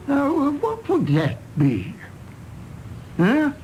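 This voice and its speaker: A man playing a doddering, mildly curious old uncle in a radio drama, vague and halting. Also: extremely high pitch, wildly swooping pitch, darkish tone, a little hiss or hum, heavily acted. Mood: musing. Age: older. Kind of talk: British radio drama acting.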